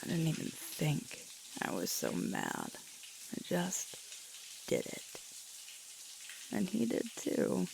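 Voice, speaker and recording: Sweet, weary alpha werewolf girlfriend, female performer for ASMR roleplay: slow, breathy, murmured delivery with very wide pitch swings. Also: wildly swooping pitch, full character voice. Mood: wry, relaxed reminiscing. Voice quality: breathy, often dropping to a whisper. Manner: halting, intimate, with sighs and murmurs. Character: sweet, playful young alpha werewolf girlfriend, tired and sore. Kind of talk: intimate ASMR audio roleplay storytelling. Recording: loud hissing shower water throughout. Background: running shower water.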